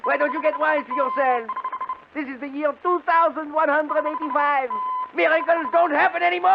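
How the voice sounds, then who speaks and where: An actor playing a bitter, mocking convict who jeers and cackles, in 1950s radio drama style. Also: male voice, very high voice, steady tone, a little slow, loud music behind the voice. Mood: bitter taunting. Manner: jeering, cackling, taunting. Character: bitter, mocking male space convict nicknamed Doc. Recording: noisy, muffled 1950s radio recording.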